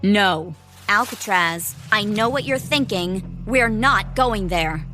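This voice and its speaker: A woman's voice: medium pitch, lively intonation, slow, bright, forceful tone, faint music behind. A young woman acting a proud, curt teenage squire in an audio drama, brusque and defensive. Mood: exasperated, wary.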